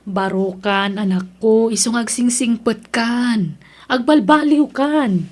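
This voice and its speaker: A woman's voice: fairly high pitch, slow pace. A woman with an Ilocano accent playing a patient, pleading mother in an audio drama, earnest and coaxing. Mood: pleading, encouraging. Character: patient, pleading mother urging her child onward.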